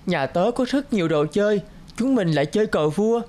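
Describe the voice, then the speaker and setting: A woman's voice: medium pitch, expressive, brisk, mellow, natural voice. A woman voicing a lonely, eager little boy in a cartoon, childlike and earnest. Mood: coaxing. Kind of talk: cartoon voice acting.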